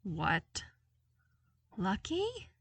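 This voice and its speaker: A woman voicing a shy, bewildered young man in a story, halting and flustered. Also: mid-range voice, huge pitch swings, fairly bright tone, breathy edge, no room sound, full character voice. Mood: stunned.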